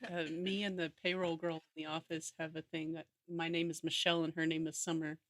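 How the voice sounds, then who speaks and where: A woman guest from Texas telling a casual story on a podcast, relaxed with hesitant fillers. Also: low voice, a little fast, no echo, studio quality.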